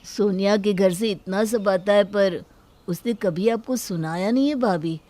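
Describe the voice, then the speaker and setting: A woman's voice: medium pitch, unhurried, mellow, dry recording, lightly acted. A woman playing an indignant, reproachful young husband in a family moral story. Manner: reproachful, protesting. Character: indignant young husband defending his wife.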